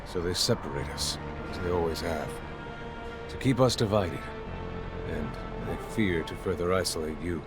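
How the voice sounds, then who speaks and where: A man playing a weary, solemn master mentor in a video game, speaking in a measured, theatrical way. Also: low voice, music in the background, natural voice. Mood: grave warning.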